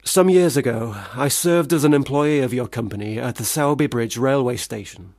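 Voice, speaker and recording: Man performing failed young gentleman poet, radio drama, self-pitying, wordy, formal, mid-pitched, very dry sound. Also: normal speed, dry recording, natural voice. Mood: pompous, morose. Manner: formal, wordy, pompous period diction. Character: Branwell Bronte, failed, self-pitying, gin-drinking young gentleman writer. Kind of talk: radio play monologue and dialogue.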